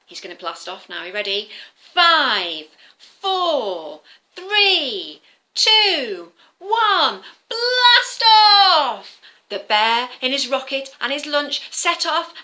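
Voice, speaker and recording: A woman storyteller with a bright, fairly high voice. She speaks to small children in a very lively way, drawing them in with questions and simple explanations. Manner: animated, addressing listeners with questions and simple explanations. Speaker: children's storyteller, former teacher. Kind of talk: interactive storytelling for young children.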